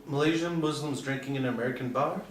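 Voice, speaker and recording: A man's voice: mid-range voice, fast, close to an everyday voice. Man acting sly undercover pilot, clipped and insinuating, roomy distant recording, screenplay read. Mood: guarded, probing. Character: cool, sly undercover pilot posing as a militant. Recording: echoey room, distant mic, faint noise.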